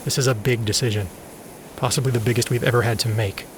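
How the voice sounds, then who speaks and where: An actor playing an earnest, troubled group leader in an audio drama, speaking emphatically. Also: male voice, mid-range voice, steady tone, fast, lightly acted. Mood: troubled, decisive. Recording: faint background noise.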